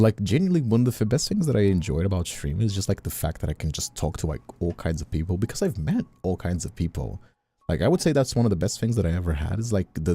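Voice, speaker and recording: A man chatting casually as a livestreamer, rambling and halting, often repeating himself as he finds his point. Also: low-pitched, warm tone, husky. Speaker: streamer and content creator. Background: faint music.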